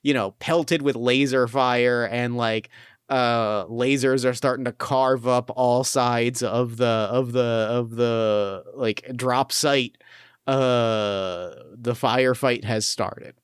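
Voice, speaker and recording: Smooth-voiced male game master. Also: mid-range voice, fairly even intonation, slow pace, no room sound, clean studio sound. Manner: loose, filler-heavy narration. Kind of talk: running a tabletop game.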